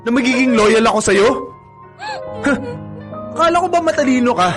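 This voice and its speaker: An actor playing a cheating young college boyfriend who turns cruel and taunting, in a radio drama. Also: male voice, very high-pitched, animated, moderate pace, music in the background. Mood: mocking. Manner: taunting, mocking laughter.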